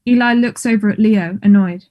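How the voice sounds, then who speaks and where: A woman's voice: mid-range voice, fairly quick, warm tone. A woman reading stage directions as scripted narration.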